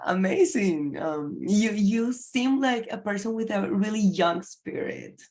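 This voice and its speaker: Woman, interviewer, slow pace, encouraging, laughs often, fills with um. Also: medium pitch, animated, darkish tone. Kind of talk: interview questions.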